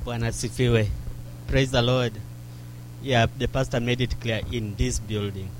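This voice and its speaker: A man's voice: medium pitch, fairly even intonation, measured pace, noisy background. A man greeting a church congregation, earnest and quoting scripture. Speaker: visiting church member.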